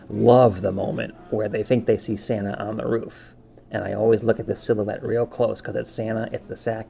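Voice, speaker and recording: This is a man talking casually as a podcast co-host. His voice is relaxed and dark, with plenty of gravelly fry. He gives his opinions informally and with enthusiasm. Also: on the low side.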